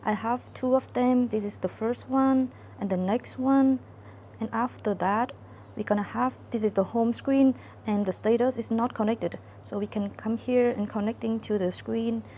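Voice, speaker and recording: A young woman from Vietnam, walking through a demo of her student app in a careful, halting way with many fillers. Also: a little high, fairly even intonation, warm tone, a little hiss or hum. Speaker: student intern presenting an app. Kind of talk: screen-recorded app demo walkthrough.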